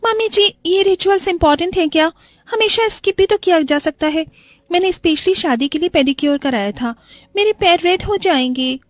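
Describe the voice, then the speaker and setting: A high-voiced, snobbish young bride from a cartoon story, performed by a woman storyteller. She is irritable and spoiled, whining her complaints with English words sprinkled in. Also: moderate pace, no echo. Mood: whiny annoyance.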